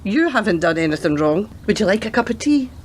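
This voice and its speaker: A woman plays a doting, cheeky old grandmother in a radio play. She fusses, teases and chatters at a middling pitch. The amateur recording has some noise in it. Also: sing-song, brisk, lightly acted. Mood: warm, reminiscing. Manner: fussing, talkative, teasing.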